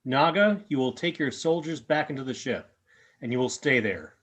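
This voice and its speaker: A man playing a stern, resolute alien guardian in a radio play, speaking in formal, measured pronouncements. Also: medium pitch, expressive, fairly bright tone.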